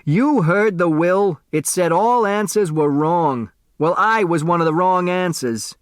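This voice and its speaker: A man's voice: high-pitched, measured pace, no echo, clean studio sound, voice pushed up. A man voicing an aggrieved, groaning man who defends himself in an audiobook. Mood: indignant.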